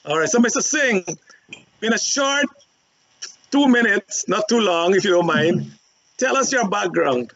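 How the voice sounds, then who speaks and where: A man's voice: sits high, expressive, a little slow, gravelly, long pauses, fairly bright tone. A man moderating a panel show, introducing guests in a rambling way with many filler ums. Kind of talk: talk show hosting. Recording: dry, dull lo-fi line.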